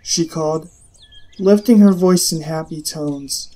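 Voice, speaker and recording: Casting: male audiobook narrator, slightly higher register, dramatic and expressive delivery of literary prose. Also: unhurried. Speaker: story narrator. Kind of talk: dramatic scripted narration of fiction.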